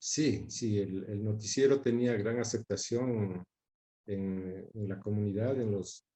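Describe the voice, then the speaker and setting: A man in his sixties with a Quito, Ecuadorian accent, talking reflectively as an interview guest, measured, pausing and repeating words as he searches for them. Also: on the low side, warm tone. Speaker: interview guest recalling his father's career.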